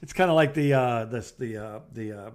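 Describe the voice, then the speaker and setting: Male co-host, informal chat, down-home phrasing, hesitant and meandering delivery. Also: medium pitch. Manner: halting, folksy, rambling. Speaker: podcast co-host. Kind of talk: casual phone chat.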